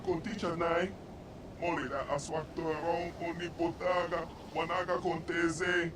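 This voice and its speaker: An excitable alien creature from a video game, acted by a man, pitched high. It chants emphatically in an invented tongue. A heavy roar of noise sits behind the voice. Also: unhurried, close to an everyday voice. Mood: insistent. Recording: very noisy game audio, vehicle-like roar.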